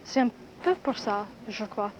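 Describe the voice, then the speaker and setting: A woman from California, a student, answering an interviewer in a relaxed, lightly hesitant way. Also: medium pitch, a little slow. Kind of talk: archival radio interview answers. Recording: dull 1960s radio archive, faint hiss.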